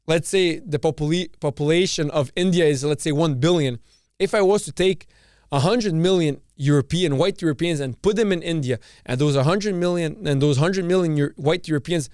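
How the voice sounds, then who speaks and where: Male podcast host, Serbian-born, ranting solo, blunt and profane, fairly high voice, clean close dry sound. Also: a little mellow, clear, resonant tone, dry recording. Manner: blunt and profane, repeats words and restarts. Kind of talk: opinionated solo monologue.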